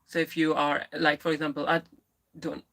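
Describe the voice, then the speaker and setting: A woman with a lisp who livestreams, chatting candidly and answering viewers in a rambling way with many restarts and pauses. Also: low-pitched, completely flat delivery, vocal fry, a bit bright. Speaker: live streamer. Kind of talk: casual live stream chat, answering viewers.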